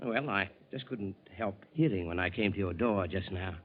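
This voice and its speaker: An actor playing a chatty, excitable ship's steward, deferential yet familiar, in 1940s radio style. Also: male voice, low-pitched, moderate pace. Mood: chatty, nosy. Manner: deferential but familiar, animated. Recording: muffled 1940s radio recording.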